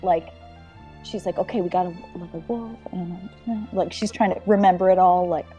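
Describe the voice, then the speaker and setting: A woman playing a tabletop role-playing game, talking casually and improvising in a halting, rambling way. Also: lowish voice, fairly even intonation, dull audio, soft background music.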